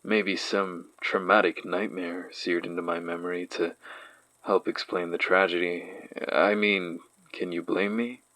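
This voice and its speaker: A man's voice: sits low, a bit bright, no echo, low-quality recording. An actor playing an uneasy man haunted by childhood memories, giving a hesitant, reflective testimony in a horror audio drama. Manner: hesitant, reflective, sighing delivery.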